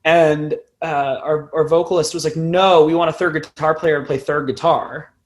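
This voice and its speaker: A man's voice: on the high side, steady tone, moderate pace. A man in his twenties chatting casually as a musician being interviewed, rambling and self-deprecating. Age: 20s. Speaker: podcast guest, band musician.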